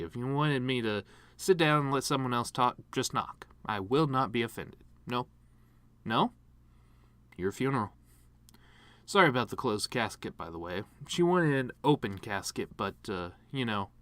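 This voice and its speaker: A man performing a dramatic monologue as a bitter, grieving horse giving his mother's eulogy, rambling with sardonic, self-deprecating deadpan humor. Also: on the low side, no echo. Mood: needling, then morbid, apologetic.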